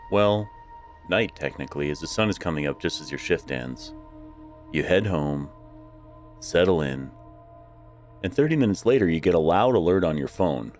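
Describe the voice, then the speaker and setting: A man's voice: low voice, darkish tone. A man hosting a podcast, opening with dramatic, suspenseful narration and then interviewing his guest. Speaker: podcast host.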